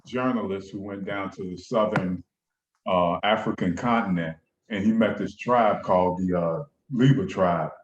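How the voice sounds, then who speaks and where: Low-voiced man on dull, echoey audio. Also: moderate pace, slight background noise. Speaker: guest panelist. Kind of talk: casual faith discussion chat.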